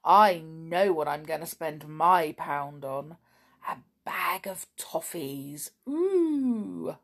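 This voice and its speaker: A woman voicing a greedy, quarrelsome male goblin in a storybook, rude and quick to exclaim in indignation. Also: deep voice, lively intonation, full character voice. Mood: gleeful.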